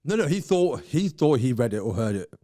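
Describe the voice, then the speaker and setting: A man's voice: medium pitch, big pitch swings, fairly quick, dark tone, no echo, studio quality. A man co-hosting a podcast, chatting casually, rambling through asides and laughing often. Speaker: podcast co-host. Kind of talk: casual chat.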